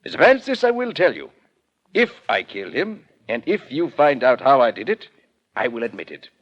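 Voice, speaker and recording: A man's voice: medium pitch, big pitch swings, highs cut off. An actor playing a proud, sly craftsman of magic props and murder suspect in 1940s radio style, speaking formally and theatrically. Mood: defiant, formal. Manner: formal, theatrical, deliberate speech.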